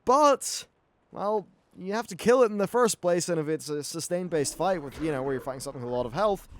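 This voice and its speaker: A man's voice: fairly high pitch, animated, a little fast. A young man giving a chatty, informal, mildly sweary commentary as he plays through a video game. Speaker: gaming YouTuber. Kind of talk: casual game playthrough commentary. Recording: clear background noise, game ambience.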